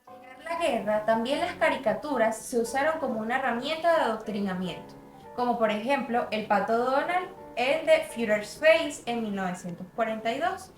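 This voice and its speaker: A woman's voice: fairly high pitch, lively intonation, normal speed. A young woman reading a scripted documentary narration as a student narrator. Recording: roomy voice-over with music bed.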